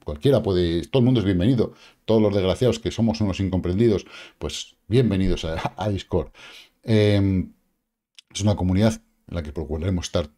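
A man's voice: deep voice, mellow. A middle-aged man with a Spain Spanish accent, talking casually to camera about the crypto market, joking and addressing his viewers directly. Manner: chatty, jokey, addresses viewers directly with asides and repeated "vale". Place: small indoor studio with purple lighting.

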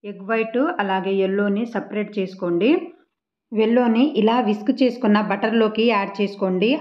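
A woman's voice: medium pitch, lo-fi sound. A woman with a Telugu accent narrating a cooking recipe step by step as a voice-over, in a polite, patient teaching tone. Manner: polite, patient instructional tone with frequent respectful endings. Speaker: cooking channel host.

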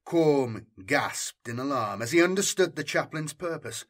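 A man narrating a science fiction story in a dramatic, measured and deliberate way. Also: a little high, lively intonation. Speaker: audiobook narrator and channel host.

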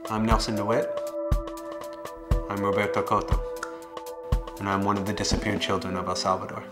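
A young American man in his late twenties speaks in a low voice with very little melodic movement. He tells his own story to camera in a plain, measured manner, pausing and feeling for phrases as he goes. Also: little pitch movement, loud music behind the voice. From Boston area, United States. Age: late 20s. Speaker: documentary subject telling his life story. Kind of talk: personal testimony to camera. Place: living room, indoors.

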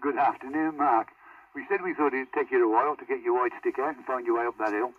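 A man's voice: mid-range voice, quick pace, phone-quality sound. A man chatting casually as a CB operator, heard over a radio. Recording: distant, noisy CB radio speaker in car.

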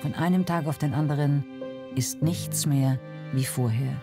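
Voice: mid-range voice, moderate pace. Scripted documentary narrator over music.

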